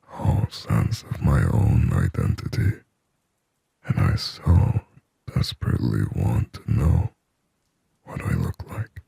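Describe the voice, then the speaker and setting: Guy doing a lonely demon asmr roleplay, super low gravelly whisper, slow n brooding, right up on the mic. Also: dark tone, full character voice. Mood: anguished, fearful. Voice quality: breathy, raspy whisper. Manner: slow, brooding, pleading, poetic. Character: lonely, yearning sleep paralysis demon haunting the listener. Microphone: close-up ASMR microphone.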